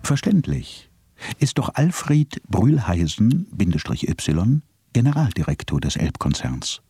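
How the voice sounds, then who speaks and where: An actor narrating a crime radio play with dry, wry comments. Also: male voice, fairly low pitch, very animated, unhurried, warm tone, slightly rough, full character voice. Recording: music playing behind the voice.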